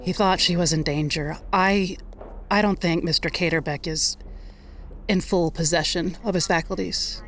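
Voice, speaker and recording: A woman's voice: lowish voice, steady tone, normal speed, close to an everyday voice. An actress playing a level-headed, insistent woman in an audio drama, earnest and firm. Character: level-headed, insistent woman giving witness and guarding a friend. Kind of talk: naturalistic audio drama acting.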